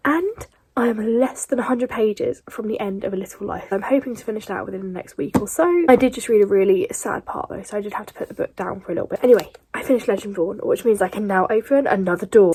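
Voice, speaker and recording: A woman's voice: mid-range voice, a little mellow, breathy edge. A young woman chatting enthusiastically about books in a casual vlog. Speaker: book vlogger.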